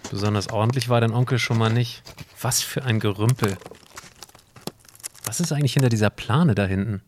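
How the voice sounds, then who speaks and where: A man's voice: mid-range voice, brisk, very noisy, close to an everyday voice. A man playing a curious, sceptical time traveller in an amateur audio drama, casual and questioning.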